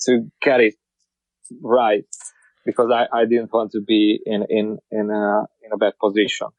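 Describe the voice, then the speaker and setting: A man's voice: on the low side, monotone, unhurried, dry recording. A man with a Hungarian accent talks as a podcast guest in imperfect English, stumbling and repeating words as he restarts his sentences, then pressing his points emphatically. The sound is dry and close, with a slightly dull, muffled tone. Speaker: guest, car wrap shop owner. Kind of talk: casual interview.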